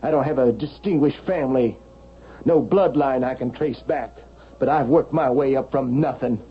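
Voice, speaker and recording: An actor playing an evasive, politely condescending lawyer son-in-law in a vintage radio drama, speaking in a measured way. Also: male voice, medium pitch, natural voice. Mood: evasive, guarded. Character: smooth-talking, evasive lawyer son-in-law, self-made and slippery. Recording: dull vintage radio broadcast, faint noise.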